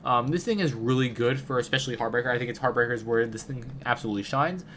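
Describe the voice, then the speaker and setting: A man with a cold gives a loose, chatty game guide, and his voice comes out stuffy through a blocked nose. He wanders through his points with lots of fillers and restarts, at a middling pitch. A faint hiss sits behind him. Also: fast talker, a bit bright. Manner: rambling, many fillers and restarts. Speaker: gaming video creator. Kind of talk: casual game guide commentary.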